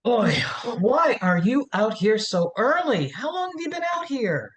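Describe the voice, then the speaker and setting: A woman's voice: a little high, sing-song, a little slow, slight background noise. A woman playing a blunt, bossy, worried mother in a screenplay reading. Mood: scolding. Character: scolding, worried mother of a troubled boy. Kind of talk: live staged screenplay reading.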